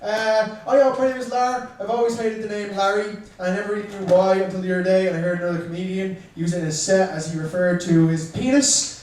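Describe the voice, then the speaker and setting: A man's voice: high voice, fairly even intonation, fast, hardly any pauses, a little mellow, slight background noise. Dublin-accented young man, echoey bar room. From Ireland. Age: young adult. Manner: chatty, self-mocking, teasing the crowd. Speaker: stand-up comedian. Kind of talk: stand-up comedy set. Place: small bar comedy room. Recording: echoey room, mic far off.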